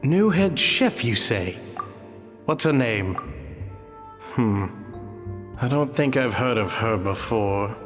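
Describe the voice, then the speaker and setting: Slow, skeptical musing man. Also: mid-range voice, expressive, soft background music. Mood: doubtful. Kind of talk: video game voice acting.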